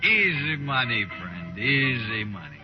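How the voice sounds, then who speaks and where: Slow-talking, boastful western drifter gunman. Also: mid-range voice, very animated. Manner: folksy frontier drawling talk, menacing edge. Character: boastful, touchy drifting gunman with easy money. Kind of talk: 1950s western radio drama acting. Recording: dull old radio recording, music behind.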